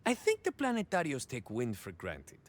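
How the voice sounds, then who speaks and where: A man's voice: mid-range voice, huge pitch swings, normal speed. An actor playing a cocky, rambling cyborg ex-soldier in a video game, with a Mexican-American accent and bits of Spanish mixed into his hesitant, theatrical talk. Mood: wistful. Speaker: playable game character. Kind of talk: video game idle monologue acting.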